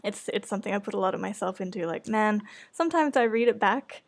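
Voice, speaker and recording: A woman's voice: medium pitch, lively intonation, quick pace, gravelly. A woman podcast host chatting casually in an earnest, hesitant, self-conscious way. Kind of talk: casual chat.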